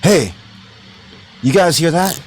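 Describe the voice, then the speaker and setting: A man's voice: a little high, animated, slow, soft background music, pitched above the performer's own voice. A man voicing a startled young man who hears zombies in a short radio skit. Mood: alarmed. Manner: alarmed, exclaiming.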